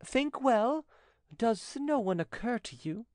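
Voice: medium pitch, lively intonation, slow, a little mellow, dry recording, clean studio sound, pitched above the performer's own voice. A narrator voicing a timid yet insistent, doting French widow in an audiobook story. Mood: coy.

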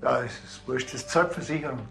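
An actor playing a gruff, sarcastic Bavarian policeman with dry, offhand delivery in a TV crime comedy. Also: mid-range voice, fairly quick, fairly bright tone, faint music behind. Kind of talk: television crime comedy acting.

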